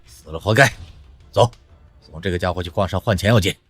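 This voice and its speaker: An actor playing a brutal, callous thug in a costume drama, with a gravelly, raspy voice. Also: male voice, low-pitched, a little fast, a bit bright, no room sound, faint music behind. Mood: angry. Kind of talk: dubbed animated costume drama.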